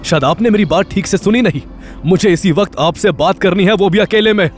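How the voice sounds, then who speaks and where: A man's voice: sits high, brisk, soft background music, close to an everyday voice. A man playing an intense young man in an audio drama, insistent and emphatic in his questioning. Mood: angry, demanding.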